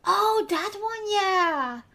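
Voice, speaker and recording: High-voiced Dutch woman podcast host. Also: expressive, extremely slow, pushed and bright. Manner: hesitant, many filler sounds. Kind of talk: casual podcast chat.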